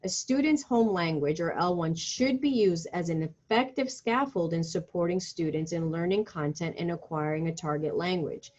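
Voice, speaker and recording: Lady reading webinar slides to teachers, lowish voice, kinda muffled audio. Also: normal speed, hardly any pauses, darkish tone. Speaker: webinar presenter.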